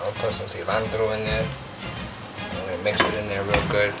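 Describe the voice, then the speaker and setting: A Puerto Rican man hosting a home cooking show, walking through a recipe step by step in a relaxed, chatty way. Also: on the low side, brisk. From Puerto Rico. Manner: laid-back, chatty step-by-step instructions. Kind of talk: casual cooking demonstration. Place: home kitchen. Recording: distant mic under loud music.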